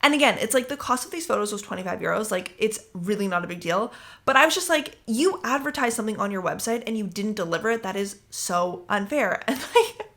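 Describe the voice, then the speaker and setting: A young woman hosting a casual podcast and telling a story in an animated, indignant way. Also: medium pitch, fairly quick. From English-speaking country, living in France eight years. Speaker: podcast host. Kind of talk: casual solo podcast chat.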